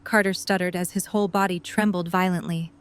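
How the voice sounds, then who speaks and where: A woman's voice: fairly low pitch, fairly even intonation, brisk, clean tone. A woman reading scripted story narration for an audio drama.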